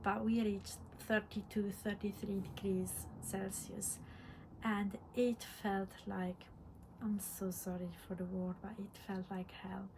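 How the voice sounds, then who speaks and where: A woman from Italy with an Italian accent chatting casually as host of a vlog, halting and reflective, often repeating words. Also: medium pitch, flat delivery. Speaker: podcast host, crafter. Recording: clear background room noise.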